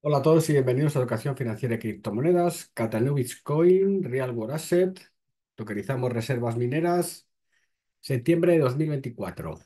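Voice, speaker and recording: A man's voice: medium pitch, expressive, normal speed, dark tone. A man, hosting and reading crypto news aloud, then breaking off with short blunt remarks. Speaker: host.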